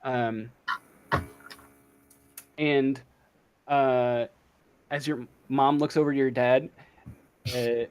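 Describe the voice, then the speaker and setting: A man working as game master, improvising a tabletop role-playing story in a halting, drawn-out way. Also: mid-range voice, fairly even intonation, darkish tone, smooth, low-quality recording.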